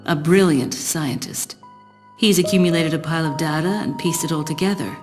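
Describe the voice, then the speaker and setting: A woman plays a secretive, calculating spy from a video game, with a low-pitched voice. She sounds composed, aloof and knowing, with clear music playing behind her. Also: moderate pace, lightly acted. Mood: detached, calculating. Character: cool, secretive, calculating female spy, adult. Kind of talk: video game cutscene acting and narration.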